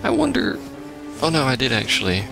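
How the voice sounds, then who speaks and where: A man's voice: mid-range voice, wildly swooping pitch, brisk, creaky. A man giving casual, sarcastic commentary as he plays a video game. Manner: sarcastic, wry. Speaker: let's play host. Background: game music.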